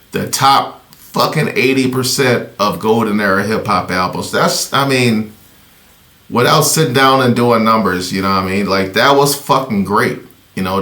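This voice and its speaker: A man's voice: on the low side, a bit bright. A man hosting a music reaction, talking casually and profanely, rambling with fillers. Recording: echoey room.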